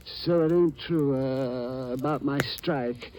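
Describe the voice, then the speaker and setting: An actor playing a frail, stubborn old American gold prospector in a 1950s radio western, with a folksy, halting delivery. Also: male voice, mid-range voice, a crowd in the background, lightly acted. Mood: upset, suspicious. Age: older. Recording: noisy vintage radio recording.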